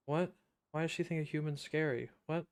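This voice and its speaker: A man's voice: a little high, a little fast. A man casually commenting on a game as he streams it, blunt and dryly joking. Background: game music.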